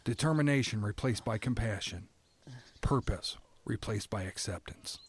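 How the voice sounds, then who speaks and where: A man playing a stoic, resigned prisoner who narrates an audio drama in a somber, deliberate, poetic storytelling manner. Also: on the low side, slightly rough, no echo. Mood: grave, urgent. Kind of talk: scripted first-person dramatic narration.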